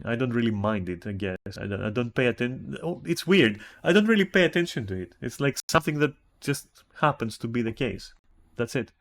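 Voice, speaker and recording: Greek guy streaming, mid-pitch voice, talks it out, keeps restarting and asking questions. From Greece. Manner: thinks aloud, many restarts and puzzled questions. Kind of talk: casual stream commentary, reacting to a text.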